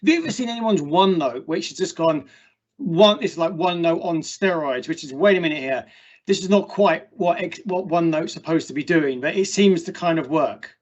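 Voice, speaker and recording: Higher-voiced man hosting, muffled audio. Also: expressive, moderate pace. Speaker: host of an online meetup. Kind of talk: casual chatty interview. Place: indoors, online video call. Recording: dull, muffled call audio.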